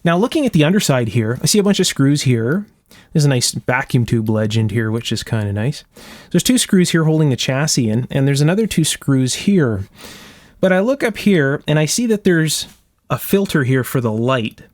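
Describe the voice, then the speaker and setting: A man's voice: medium pitch, big pitch swings, normal speed, a little mellow, no room sound. Man explaining repair work, dry close sound. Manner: casual, methodical step-by-step explaining. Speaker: host of an electronics restoration channel. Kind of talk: hands-on workshop demonstration, thinking aloud. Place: indoor electronics workshop.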